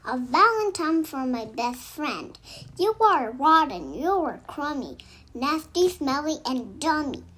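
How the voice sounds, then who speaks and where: A female reader telling children's verse in an animated, expressive, sing-song storytelling style. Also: high-pitched, slow pace. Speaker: children's book narrator.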